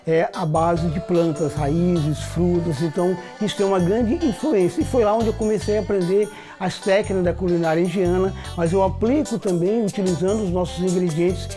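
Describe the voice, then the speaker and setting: Calm Maranhão male chef, measured delivery over a music bed. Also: high voice. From São Luís, Maranhão, Brazil.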